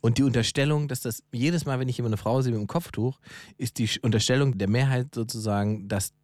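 Male podcast co-host, mid-pitched, blunt and colloquial, explaining things conversationally, recorded close and dry. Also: quick pace, a little mellow, no echo. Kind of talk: casual conversational discussion.

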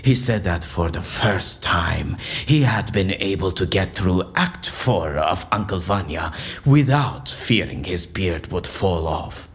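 An actor playing an eccentric, erudite man in an audio drama, speaking in a florid, pompous and animated way. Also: deep voice, slow pace, exaggerated voice. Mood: chatty, whimsical. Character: eccentric, theatrical, erudite man, convinced his interrogation is staged.

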